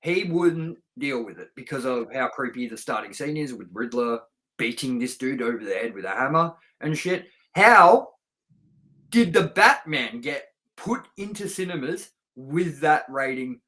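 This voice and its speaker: A man's voice: medium pitch, animated, a little slow, sharp tone. A middle-aged man co-hosting a casual, sweary film review, speaking in an emphatic, opinionated way. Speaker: co-host of a film review show.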